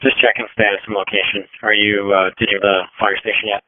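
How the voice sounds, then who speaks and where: Low, flat-voiced man on police radio. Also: fast talker, dry recording. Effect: police two-way radio. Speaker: police air operations coordinator on radio. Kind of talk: radio dispatch traffic. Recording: narrowband, dull police radio transmission.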